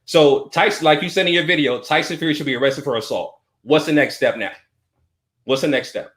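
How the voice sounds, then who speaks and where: A man's voice: fairly high pitch, brisk, a bit bright, echoey room. A man in his forties hosting a livestream, arguing insistently and repeating himself over and over. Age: 40s. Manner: repetitive, insistent, talks over the caller. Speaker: live stream host. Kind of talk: heated argument on a livestream.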